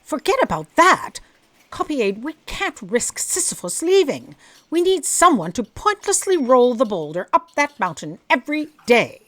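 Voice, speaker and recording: A woman playing a brisk, worried, bossy female official in an audio drama. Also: high-pitched, big pitch swings. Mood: urgent.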